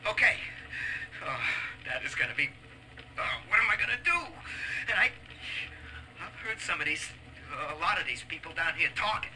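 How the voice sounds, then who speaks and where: An actor playing a shifty, cowardly schemer in a video game, with a slippery, wheedling manner. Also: male voice, fairly high pitch, pushed and bright, slight background noise, exaggerated voice, low-quality recording. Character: shifty, scheming, cowardly male security-booth weasel.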